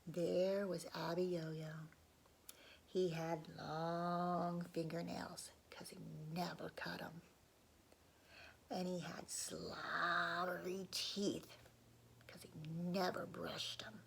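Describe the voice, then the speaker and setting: A woman telling a story to young children in an expressive read-aloud style, often dropping into hushed asides to her listeners. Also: on the low side, extremely slow, gravelly, slight background noise.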